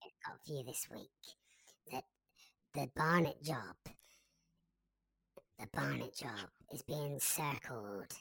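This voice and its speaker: A man voicing a jittery, secretive football insider, speaking through a voice-disguising effect and often dropping to a hushed whisper. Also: medium pitch, takes it slowly, vocal fry, long pauses, full character voice. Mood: conspiratorial. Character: secretive male football rumour-monger, jittery. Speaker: anonymous insider guest.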